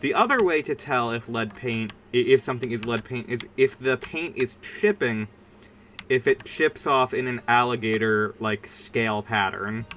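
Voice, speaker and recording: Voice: mid-range voice, normal speed, fairly bright tone, a little hiss or hum. A streamer chatting casually during a game livestream in a rambling, informal and emphatic way. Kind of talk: casual livestream chat while gaming.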